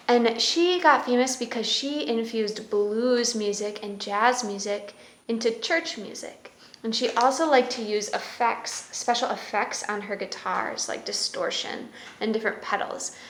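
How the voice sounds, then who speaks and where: A woman's voice: fairly high pitch, a bit bright, a little hiss or hum. A woman hosting a children's story time, reading aloud and explaining in a simple way. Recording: roomy echo with music.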